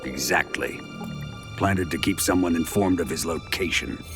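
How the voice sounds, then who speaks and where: Actor as ruthless, menacing military commander. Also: male voice, sits low, moderate pace, close to an everyday voice. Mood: cold. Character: ruthless, suspicious military commander, domineering man. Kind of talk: video game cutscene acting. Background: game music.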